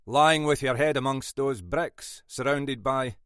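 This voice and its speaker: A man's voice: medium pitch, measured pace, fairly bright tone, clean tone, no room sound, clean studio sound, voice pushed up, close to an everyday voice. A man voicing a blunt, scolding older Scottish detective boss in an audiobook. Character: gruff older Scottish male detective boss, stern but fatherly. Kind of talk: audiobook character dialogue.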